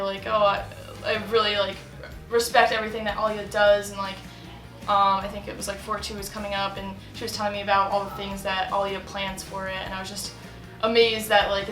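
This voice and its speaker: A woman's voice: medium pitch, pushed and bright. A young woman talking casually in an interview, chatty with many fillers and laughs. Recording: roomy echo with faint music.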